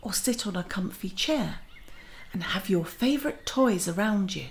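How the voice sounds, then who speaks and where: A woman playing a gentle, kindly magical garden auntie who leads a children's guided meditation in a soothing, often near-whispered voice. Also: medium pitch, lively intonation, normal speed, lightly acted. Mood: gentle, inviting. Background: music.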